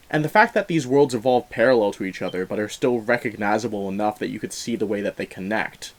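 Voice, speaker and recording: A man giving enthusiastic, opinionated film review commentary in an emphatic, explanatory way. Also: medium pitch. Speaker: film review show host.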